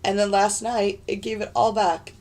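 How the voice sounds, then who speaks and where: A woman with a raspy voice and hiccups, chatting casually and rambling as she streams live trading commentary from her desk. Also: mid-range voice, level tone, normal speed, darkish tone. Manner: chatty, rambling, many fillers and restarts. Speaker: futures day trader streaming live. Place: home desk in front of a computer.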